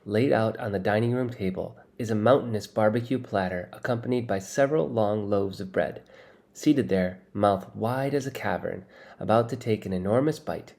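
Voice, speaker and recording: A man reading a first-person children's novel aloud as expressive, scripted narration. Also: lowish voice, normal speed, creaky. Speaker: audiobook reader.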